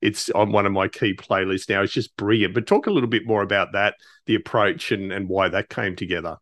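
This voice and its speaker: A man's voice: lowish voice, fairly quick, fairly bright tone, no room sound. A man hosting a casual interview, speaking with enthusiasm and often doubling back on his words. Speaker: interviewer, podcast host.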